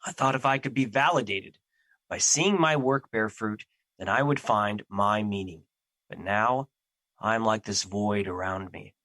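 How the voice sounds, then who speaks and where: A personified emotion in an audio drama, acted by a man, with a lowish, bright voice. He is humble and full of self-doubt, speaking in formal, solemn phrases. The recording is close and dry. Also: a little slow, no echo, rough recording. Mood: despairing. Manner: formal, solemn, earnest diction. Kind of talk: theatrical audio drama acting.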